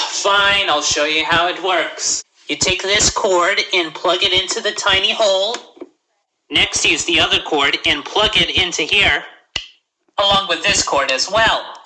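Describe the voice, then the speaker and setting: A woman's voice: on the low side, unhurried, bright, forceful tone, a little hiss or hum, low-quality recording. A woman talking through a step-by-step explanation in a homemade cartoon, in a patient, instructive way. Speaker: creator and narrator of a homemade cartoon.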